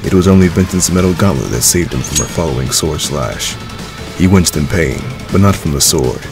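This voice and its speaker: A man's voice: extremely low pitch, normal speed. A man reading scripted story narration as the narrator of an audio drama. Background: music.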